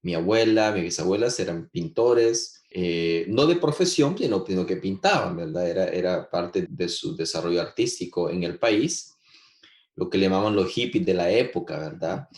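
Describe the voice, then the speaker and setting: A man from El Salvador, answering interview questions in a halting way, with many restarts and fillers. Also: sits low, expressive, darkish tone, reverberant. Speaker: guest composer.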